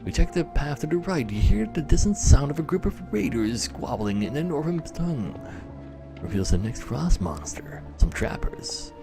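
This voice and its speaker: A man's voice: on the high side, very animated, normal speed, creaky, music in the background. A man livestreaming a game, switching between chatty commentary to his viewers and reading story text aloud as a narrator. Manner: chatty, talking to live viewers.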